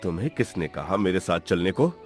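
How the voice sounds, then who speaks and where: A man's voice: sits low, sing-song, a little fast, a little mellow, soft background music. A man voicing an arrogant, cold husband and father in a cartoon story, stern and contemptuous. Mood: scornful. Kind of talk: Hindi cartoon story dialogue.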